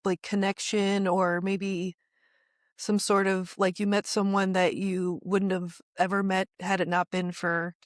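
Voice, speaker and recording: An interviewer asking questions in a halting way, searching for words and restarting often. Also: medium pitch, level tone, dry recording, studio quality. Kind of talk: casual interview conversation.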